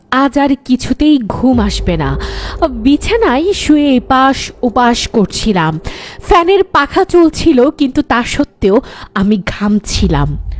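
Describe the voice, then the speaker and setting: A woman's voice: a little high, a little mellow, slight background noise. A woman voicing a frightened young daughter who narrates a scripted horror cartoon with dramatic delivery.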